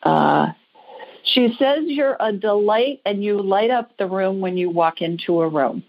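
An American woman hosts a call-in psychic show in a conversational style. Her voice sits at a medium pitch, and she speaks in a chatty, reassuring, informal manner. The audio is a little dull and lo-fi. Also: lively intonation, a little slow, gravelly. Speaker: host, psychic call-in reader.

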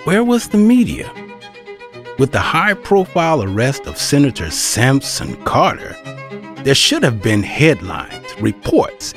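A woman narrating a scripted audio drama as its storyteller. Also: a bass voice. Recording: music behind the voice.

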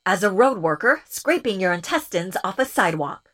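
A woman's voice: mid-range voice, sing-song, moderate pace. A woman playing a scornful captive agent. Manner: sharp, sarcastic, contemptuous. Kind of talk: audio drama.

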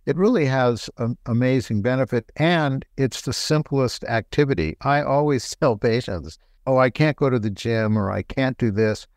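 A middle-aged man, a doctor co-hosting a health talk show, explaining things in a relaxed, conversational way. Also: medium pitch, moderate pace, hardly any pauses, no echo, clean studio sound. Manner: explanatory, relaxed, laughs lightly.

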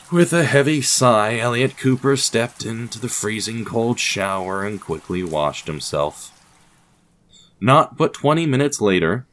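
A man narrating a scripted audio drama in a measured, theatrical storytelling manner with deliberate pauses. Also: on the low side, a bit bright.

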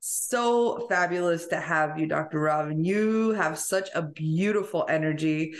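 A woman's voice: low-pitched, unhurried. A woman hosting a podcast interview, effusive and full of enthusiastic praise. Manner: effusive, gushing. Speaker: podcast host. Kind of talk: casual interview.

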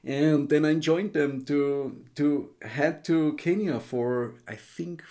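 Hesitant Austrian-raised man, mellow voice. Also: on the high side, fairly even intonation, takes it slowly. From western Austria. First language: German. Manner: hesitant, searching for words, many fillers. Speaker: guest. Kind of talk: casual interview chat.

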